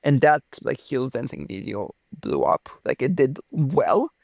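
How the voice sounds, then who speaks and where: A young man from Romania talks through his story in an interview, warm with enthusiasm and fond of theatrical turns of phrase. His voice has a noticeable gravelly fry and a mid-range pitch. The sound is close and dry. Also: huge pitch swings, unhurried, no echo. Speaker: physicist guest.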